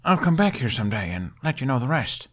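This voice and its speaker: A man's voice: medium pitch, sing-song, fast, pitched above the performer's own voice. A man voicing an awkward, earnest young male misfit who tells stories with wry humour, in an audiobook. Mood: sincere. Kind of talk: audiobook character voice.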